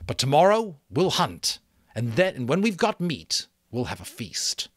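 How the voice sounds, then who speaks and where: Give this storybook role a commanding young chief acted out by a man. The boy's voice sits well above an adult man's usual pitch, swinging widely as he issues solemn, emphatic orders to his tribe. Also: very animated, a little slow, husky, no echo, clean studio sound, full character voice.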